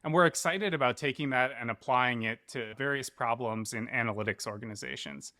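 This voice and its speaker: A man's voice: medium pitch, a little fast, sharp tone, dry recording, clean studio sound. A man speaking as a startup co-founder and interview guest, explaining his work in conversational business talk.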